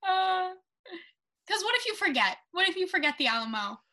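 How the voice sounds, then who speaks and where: Bright high-voiced woman chatting casually. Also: sing-song, moderate pace. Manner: brief agreeing interjections. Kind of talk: casual chat.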